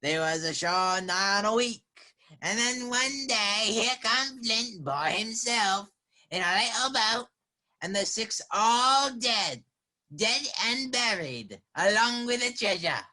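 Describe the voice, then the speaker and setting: A woman's voice: fairly high pitch, expressive, takes it slowly, pushed and bright, exaggerated voice. A woman playing a half-wild marooned castaway sailor in a radio play, eager and rambling, with rustic sailor dialect. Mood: wary, anxious. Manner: rambling, repeats words, exclaiming. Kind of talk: children's theater radio play acting.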